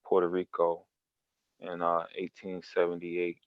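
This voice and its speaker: A man with a deep voice, talking casually and colloquially. The audio is dry and slightly dull. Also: monotone, unhurried, clean tone, no echo. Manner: informal, colloquial. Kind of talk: casual chat.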